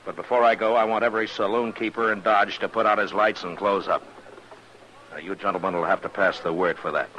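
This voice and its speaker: A man's voice: lowish voice, steady tone, brisk, sharp tone, highs cut off. An American actor playing a stern, fearless frontier lawman in a 1950s Western radio drama, terse and commanding, narrating his own story. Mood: grim, resolute. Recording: noisy old radio recording.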